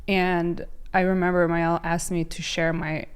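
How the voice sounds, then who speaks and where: A woman's voice: fairly low pitch, little pitch movement, a little slow, clean tone. A woman from Ukraine sharing her personal testimony as a guest, telling it with emotion and many fillers and restarts. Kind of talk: personal testimony in a faith podcast.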